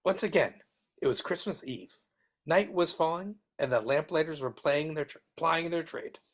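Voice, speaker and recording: A woman's voice: low voice, normal speed. A woman playing a mild storybook narrator in a live scripted reading on a video call. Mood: measured storytelling.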